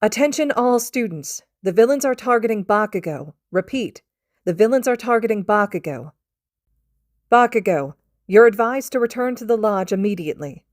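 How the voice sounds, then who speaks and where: A woman voicing an urgent female hero in an audio story, announcing orders loudly and emphatically. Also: mid-range voice, lively intonation, moderate pace, no room sound, clean studio sound, pitched above the performer's own voice, natural voice. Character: urgent woman hero broadcasting commands to students.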